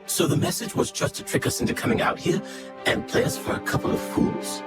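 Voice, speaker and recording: A woman playing a careful, earnest, analytical young adventurer in a video game, explaining things in a thoughtful, measured way. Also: close to an everyday voice. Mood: frustrated resolve. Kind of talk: video game cutscene acting. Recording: loud music under the voice. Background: loud music.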